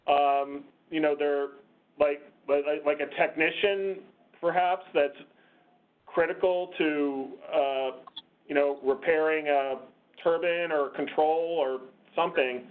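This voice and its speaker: Man, call moderator, unhurried halting delivery, muffled phone-quality audio. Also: a little high, fairly even intonation. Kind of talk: unscripted meeting discussion. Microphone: conference call line.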